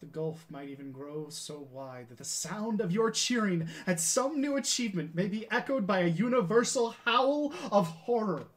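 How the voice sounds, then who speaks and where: A man performs an aging, defeated scientist in a classical stage monologue. He lectures his pupil in grand, declamatory phrases, bitter and self-condemning, with a somewhat high-set voice. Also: expressive, normal speed, hardly any pauses. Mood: fervent, bitter. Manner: theatrical, declamatory, impassioned oratory.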